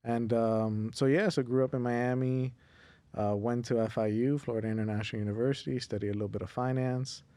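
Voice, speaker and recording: A man from Honduras speaks as a guest on a podcast, with an average-pitched voice recorded dry and close. He explains things in an easygoing, conversational way. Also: fairly even intonation, fairly quick, mellow, dry recording. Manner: easygoing, explanatory, laughs lightly. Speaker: tax attorney guest. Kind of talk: casual interview chat.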